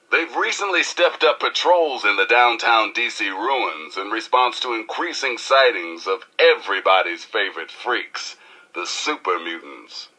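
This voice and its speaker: Game radio DJ: American, cheeky rebel, hyped patter, low-ish voice with lively swoops. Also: male voice, heavily acted, poor audio. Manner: streetwise, playful, theatrical.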